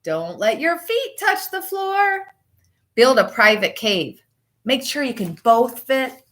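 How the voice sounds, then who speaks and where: A woman with a fairly high, strikingly bright voice reads aloud for young pupils. She speaks as a teacher would, expressively and emphatically, shaping phrases with deliberate pauses. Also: very animated. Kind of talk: children's picture book read-aloud and chat to pupils.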